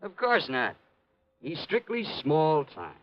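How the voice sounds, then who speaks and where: A man's voice: on the high side, huge pitch swings, slow, exaggerated voice. A man playing a brash, dismissive American police sergeant in 1950s radio drama style, slangy and impatient. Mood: dismissive, explaining. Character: gruff, dismissive, know-it-all male police sergeant. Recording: dull vintage radio recording.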